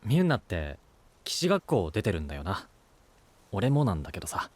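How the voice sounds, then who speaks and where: A man's voice: lowish voice, wildly swooping pitch, brisk. A man playing an easygoing, dependable young knight in an anime-style audio drama, casual and brotherly. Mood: thoughtful. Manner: casual, frank, friendly. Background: background music.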